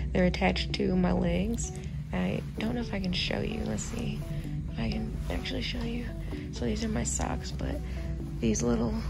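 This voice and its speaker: A young woman in her twenties, hoarse and raspy after surgery, talking slowly and tiredly into a phone from a hospital bed. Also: mid-range voice. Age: 20s. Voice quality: raspy, dry-mouthed. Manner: slow, tired, many ums. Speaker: vlogger. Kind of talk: casual vlog, talking to camera. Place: hospital room, indoors. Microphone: phone held close, lying in bed.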